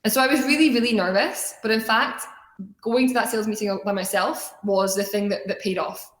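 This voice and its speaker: A woman's voice: medium pitch, fairly even intonation, fairly quick. A young adult woman from Scotland gives a motivational personal-story talk in an earnest, conversational way. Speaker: student organization leader giving a keynote talk. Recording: echoey room sound.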